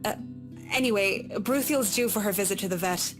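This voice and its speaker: A woman's voice: mid-range voice, brisk, over music, lightly acted. An actress playing a courteous, reserved woman interior designer in a visual novel, speaking politely.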